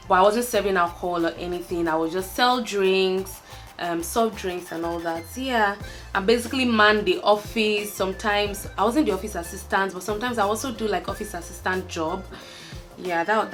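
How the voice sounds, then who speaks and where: Young Nigerian woman, candid vlogger, mid-pitched, faint music underneath. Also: a bit bright. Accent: Nigerian, Lagos. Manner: chatty, candid, laughs often, trails off with fillers. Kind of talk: casual chat to camera, answering a tag list.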